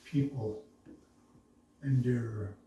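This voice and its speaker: A man from the Navajo Nation speaking slowly and thoughtfully in a reflective discussion, pausing often with uhs. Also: medium pitch, mellow. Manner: slow, thoughtful, halting with many uhs. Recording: echoey room, voice from far off.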